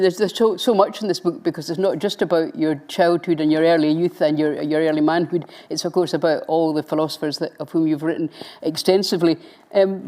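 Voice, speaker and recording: A woman's voice: fairly low pitch, dark tone. Woman interviewer, polite, tentative questions. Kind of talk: book festival interview.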